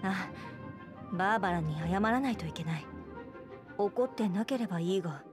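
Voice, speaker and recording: A woman's voice: mid-range voice, moderate pace, close to an everyday voice. A woman playing a dutiful young knight commander in an anime game, speaking with formal, stoic phrasing. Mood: earnest, composed. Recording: game audio with music behind.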